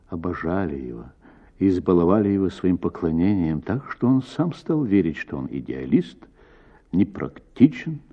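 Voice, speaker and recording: A low-voiced man narrates literary fiction with theatrical care. His intonation rises and falls widely between deliberate pauses, and the voice has a husky edge on a slightly muffled radio recording. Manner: expressive, measured, with deliberate pauses. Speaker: actor reading a short story.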